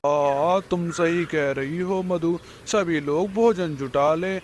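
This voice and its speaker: A man's voice: a little high, slow, a little hiss or hum. A man voicing a dismissive male crow in a children's cartoon, speaking emphatically. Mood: worried. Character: scornful male crow, dismissive naysayer of the forest.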